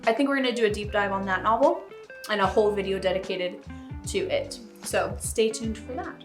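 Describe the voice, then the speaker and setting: A woman's voice: mid-range voice, a little fast. A woman with a mid-range voice speaks as co-host of a book vlog. Her delivery is chatty and informal, as if talking to friends watching. The space sounds roomy and echoey, with faint guitar music behind her. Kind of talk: casual book chat.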